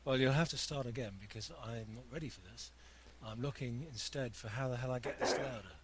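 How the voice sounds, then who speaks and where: A soft-voiced male guest in a casual chat who is wry and self-mocking. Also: medium pitch, a little fast, noisy background.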